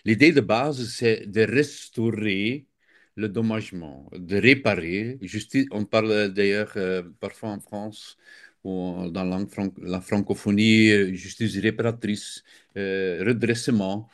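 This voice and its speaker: A man's voice: lowish voice, measured pace. A man with a Flemish accent, a native Dutch speaker, explaining his field as an interview guest while often searching for words. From Belgium. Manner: hesitant, searching for words, many fillers. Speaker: guest expert.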